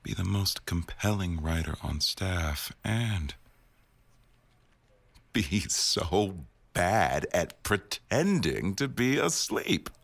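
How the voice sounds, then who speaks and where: A man playing a teasing, bossy but doting newsroom boss in an intimate boyfriend roleplay audio, with a wry, unhurried delivery. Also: low-pitched, big pitch swings, vocal fry, fairly bright tone, dry recording, full character voice. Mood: fond, teasing.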